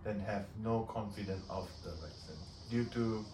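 A man from Malaysia, a podcast guest chatting casually in halting English that repeats words. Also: fairly low pitch. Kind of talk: casual chat. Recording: echoey room, distant mic, clear background noise.

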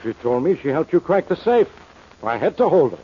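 An actor playing a stubborn, exasperated police inspector in an old-time radio drama, blunt and argumentative. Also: male voice, on the high side, sing-song, brisk, a little hiss or hum. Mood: irritated. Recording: dull old radio recording.

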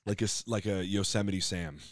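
A man guesting on a podcast, a comedian telling rambling stories with a loud voice and lots of restarts. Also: low voice, fairly even intonation, a little fast. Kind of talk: casual interview chat.

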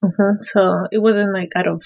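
A woman hosting a parenting podcast who asks questions eagerly and reacts quickly to her guest. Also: medium pitch, flat delivery, creaky, lo-fi sound. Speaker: podcast host.

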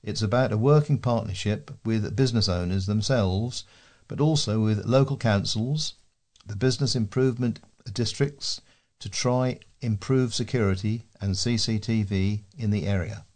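A man's voice: on the low side, normal speed, a little mellow. A man reading local news aloud as a volunteer newsreader.

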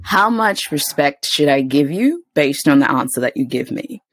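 A young woman hosts a casual podcast chat in a low voice that swings widely in pitch. She talks expressively, laughs often and leans on fillers, with a husky tone. The sound is close and dry. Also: animated, moderate pace, no echo. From immigrant to New Zealand. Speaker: podcast host.

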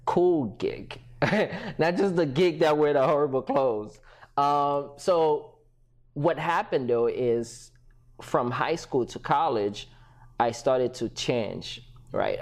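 A man who grew up in Haiti, a podcast guest telling his story in a lively conversational way, often acting out what others said and laughing. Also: mid-range voice. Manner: animated, acts out quoted voices, laughs often.

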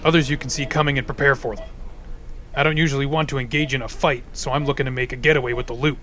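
An actor playing a practical, pun-loving ice supervillain who talks bluntly and dryly in an audio drama. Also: male voice, mid-range voice, steady tone, quick pace, pushed and bright, natural voice. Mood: wry. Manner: dry, blunt, cracks cold puns.

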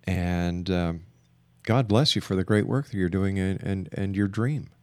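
A man hosting a talk radio interview, speaking in an emphatic, deliberate way and repeating phrases for emphasis. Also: low voice, no echo. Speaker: radio show host.

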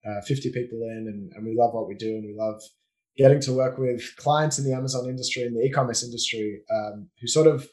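A man with an Australian accent, a business owner speaking as a guest on a podcast in a relaxed, explanatory way with frequent fillers. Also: mid-range voice, fast, poor audio. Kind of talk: casual conversational interview.

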